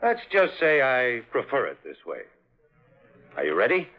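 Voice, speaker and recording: An actor playing a cold-blooded, taunting hired killer in a 1950s hardboiled radio drama. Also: male voice, mid-range voice, wildly swooping pitch, moderate pace. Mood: cold menace. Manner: taunting, threatening. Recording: muffled vintage 1950s radio recording.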